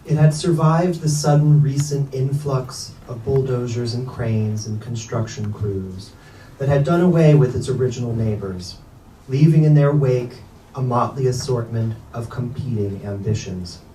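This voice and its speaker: A man reading literary prose aloud at a staged book reading, with deliberate, measured delivery. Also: mid-range voice, warm tone. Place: bookstore event space. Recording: echoey room, distant mic, background noise.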